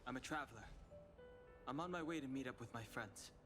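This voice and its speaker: A reserved young male traveler from a video game, voiced by a man. He speaks in a medium-pitched voice, quiet, terse and politely cool. Music is clearly heard behind him. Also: brisk, close to an everyday voice. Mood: guarded.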